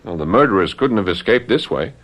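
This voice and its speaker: An actor playing an earnest, easily baffled and jealous young fiancé in a radio mystery drama, speaking in an insistent, plain way. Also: male voice, fairly low pitch, animated, fairly quick. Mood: puzzled, insistent.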